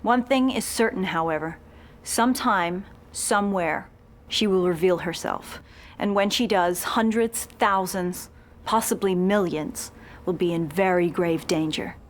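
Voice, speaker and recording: An actress playing a serious TV news correspondent in a video game, delivering a scripted special report in a measured, ominous, emphatic way. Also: female voice, fairly low pitch, natural voice.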